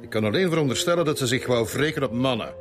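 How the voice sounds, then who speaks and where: A bitter middle-aged Flemish man, played by an actor, defending himself as a suspect in a radio drama. His pitch moves widely as he insists, defiant and cornered, over prominent music. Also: expressive, quick pace, close to an everyday voice. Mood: defiant, defensive.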